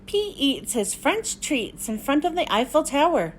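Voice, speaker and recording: Woman, children's read-aloud host. High, very animated delivery, expressive storybook narration. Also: a little slow, slight background noise. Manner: expressive, clearly addressed to young children.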